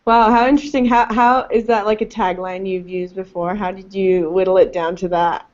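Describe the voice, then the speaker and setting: A woman leads a casual interview and asks questions in a friendly, easy way, full of "um" and "like." Her pitch is middling. The recording sounds dull and lo-fi. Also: steady tone, normal speed, a little mellow. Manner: friendly, filler-heavy. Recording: dull lo-fi audio.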